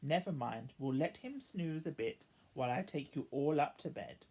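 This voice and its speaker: A husky, motherly elephant played by a woman reading a storybook, brisk and bossy yet indulgent. Her lines rise and fall in big, lively swoops. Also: deep voice.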